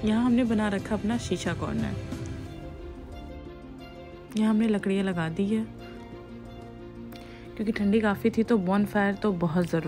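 A woman in her thirties narrating her family's camping trip as a vlog voice-over, chatty and mixing in English phrases. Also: medium pitch. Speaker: vlogger. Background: faint music under the narration.

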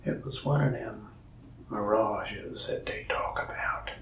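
A young man in a soft-spoken ASMR roleplay as a shop salesman, whispering and rambling in a folksy storytelling way. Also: lowish voice, takes it slowly, reverberant, distant mic.

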